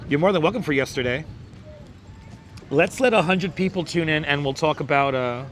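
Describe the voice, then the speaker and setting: A hoarse, crackly-voiced man, pitched a bit high, chatting outdoors as he greets his livestream viewers by name. Faint traffic and city sounds drift behind him on a clip-on mic. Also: normal speed. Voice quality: crackly, squeaky. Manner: reels off viewer names with repeated welcomes. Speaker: livestream host. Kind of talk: casual live chat greeting viewers. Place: outdoors on a beach boardwalk, city street sounds. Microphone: wireless clip-on mic. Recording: outdoor phone livestream with street noise. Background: faint traffic and city ambience.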